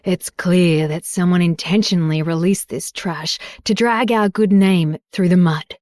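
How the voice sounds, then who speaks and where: A woman's voice: fairly low pitch, measured pace, dry recording, clean studio sound, close to an everyday voice. A woman voicing a furious, contemptuous father. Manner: shouting, contemptuous. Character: furious proud middle-aged father.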